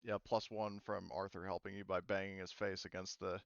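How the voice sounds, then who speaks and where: Young man, game master, smooth clear voice, dull lo-fi mic, dry sound. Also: low voice, flat delivery, a little fast, no echo. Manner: casual, rules-checking, many fillers. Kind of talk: running a tabletop game with players.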